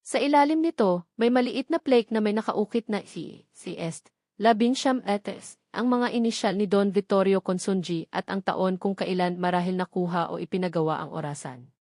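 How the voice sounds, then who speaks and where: A woman's voice: mid-range voice, moderate pace, a little mellow, no room sound, clean studio sound. A woman with a Filipino accent reading scripted story narration for an audio drama.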